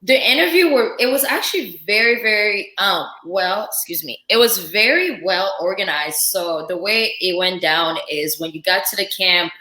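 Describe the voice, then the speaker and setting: A woman from Africa, a guest chef telling stories in a lively, laughing casual chat. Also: medium pitch, moderate pace, few pauses, echoey room, low-quality recording. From Central Africa. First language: French. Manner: lively storytelling with frequent laughter.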